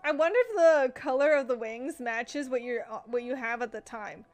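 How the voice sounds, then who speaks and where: A woman's voice: fairly high pitch, moderate pace, faint music behind. A woman game streamer reading game text aloud like a storybook and reacting live in a gushing manner.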